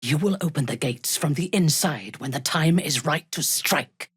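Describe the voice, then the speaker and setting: An actress playing a haughty, ruthless female dark elf warlord in a video game, imperious and formal, with a breathy, husky voice. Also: low voice, animated, no echo, clean studio sound, full character voice. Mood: cold menace. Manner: imperious, formal, deliberate, coldly menacing. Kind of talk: video game character dialogue.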